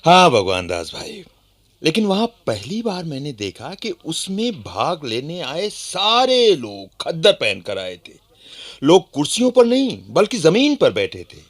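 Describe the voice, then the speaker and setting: An actor playing a proud, earnest underground activist, explaining things animatedly in radio play dialogue. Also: male voice, a little high, huge pitch swings, unhurried, full character voice. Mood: enthusiastic.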